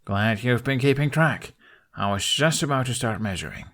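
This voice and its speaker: A man voicing a stubborn, earnest young prince in a fantasy audio story, dry and sarcastic with a grumbling deadpan. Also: mid-range voice, moderate pace, natural voice.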